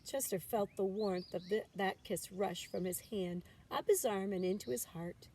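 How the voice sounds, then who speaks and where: Female storybook reader, teacher style: dark, soft-edged tone, expressive narration, somewhat distant and noisy recording. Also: medium pitch, moderate pace. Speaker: teacher reading a storybook aloud. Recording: distant mic with background noise.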